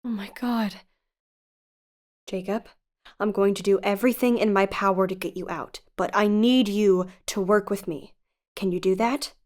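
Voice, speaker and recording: A woman's voice: mid-range voice, steady tone, moderate pace, darkish tone, natural voice. A woman acting as an earnest, no-nonsense guide in an audio drama, speaking with urgent, stern emphasis. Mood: urgent, reassuring. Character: earnest, no-nonsense woman guiding a reckless youngster.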